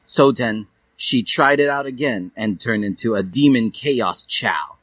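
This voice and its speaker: A man's voice: medium pitch, unhurried, a bit bright, dry recording. A man narrating fan fiction as a comic dramatic reading, with a theatrical, mock-serious delivery.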